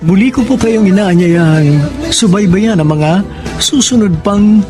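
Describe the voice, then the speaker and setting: A man with a Filipino accent hosting a radio drama, reading a script in formal, measured phrases. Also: high-pitched. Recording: music bed under the voice.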